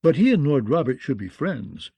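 A man's voice: mid-range voice, big pitch swings, moderate pace, mellow, breathy edge, no echo. Man voicing a sly, unruffled nobleman, close and dry. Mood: mild, feigned innocence. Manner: courteous, dry, feigning innocence. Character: sly, unruffled nobleman, mildly mocking schemer. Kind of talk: fantasy audiobook character acting.

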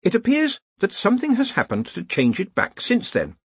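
A man's voice: sits high, wildly swooping pitch, moderate pace, husky, dry recording, voice pushed up, heavily acted. A man voicing an earnest young academic wizard in an audiobook, hesitant and deferential as he carefully explains. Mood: earnest explaining.

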